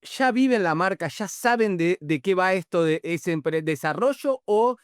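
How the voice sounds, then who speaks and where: Guy hosting a chat, high smooth voice, rambly, bits of portuguese creep in, dry sound. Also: expressive, measured pace, no room sound. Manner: rambling, mixes in Portuguese words. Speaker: podcast host. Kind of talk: casual interview chat.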